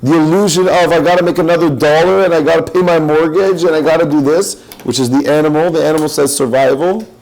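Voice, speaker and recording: A man's voice: on the high side, fairly even intonation, fast, few pauses, darkish tone, low-quality recording. A man giving an impassioned spiritual talk, urging listeners with challenging questions.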